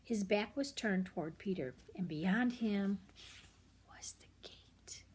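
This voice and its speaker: An older woman reading a children's picture book aloud, expressive and often hushed, pausing for asides about the pictures. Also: mid-range voice, noisy background.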